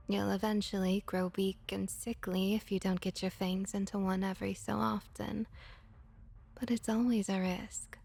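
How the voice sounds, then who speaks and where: A woman playing a friendly female vampire innkeeper and bartender in an ASMR roleplay, speaking softly and gently, often near a whisper. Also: mid-range voice. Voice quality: soft, breathy, often slipping into whispers. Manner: unhurried, gentle, reassuring.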